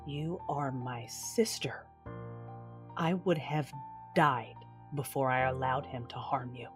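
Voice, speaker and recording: A woman playing a proud, defiant Sith lord who narrates her own story in a science fiction audio drama, intense and deliberate. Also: rumbling low, soft background music. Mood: grave, tender.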